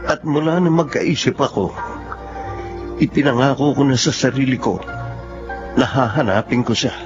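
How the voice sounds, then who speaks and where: An actor playing a resentful, determined young Filipino son in a radio drama. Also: male voice, medium pitch, moderate pace, close to an everyday voice. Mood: bitter, vengeful. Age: young adult. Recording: muffled recording with faint music.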